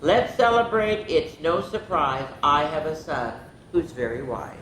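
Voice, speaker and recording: A woman voicing a wise old male owl, a proud storybook father with a slow, sing-song delivery. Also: low voice, sing-song, fairly bright tone, full character voice. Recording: roomy echo with faint noise.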